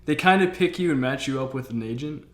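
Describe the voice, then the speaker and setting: A man's voice: medium pitch, fast talker, crowd noise. A man streamer casually interviewing a guest, informal and agreeable, often repeating himself. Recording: roomy, noisy mic with clicks.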